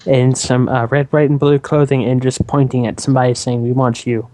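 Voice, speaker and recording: Halting, rambling man commentating on a game. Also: medium pitch, level tone, warm tone, no room sound. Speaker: let's play co-commentator. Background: video game music.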